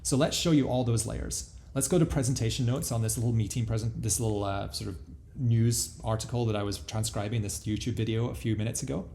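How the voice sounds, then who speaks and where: A man giving a casual, explanatory product walkthrough as a software demo presenter. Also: medium pitch, a little fast, vocal fry.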